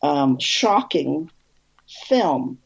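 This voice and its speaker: Woman, director as interview guest; low husky voice, animated rambling storyteller, lo-fi remote sound. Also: extremely slow. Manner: animated, rambling, frequent restarts and laughs.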